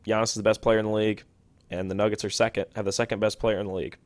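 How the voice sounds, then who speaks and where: A young man hosting a sports podcast alone, talking quickly and casually, often correcting himself mid-sentence. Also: on the low side, level tone, no echo. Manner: quick, self-correcting and chatty. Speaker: podcast host. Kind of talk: solo sports podcast talk.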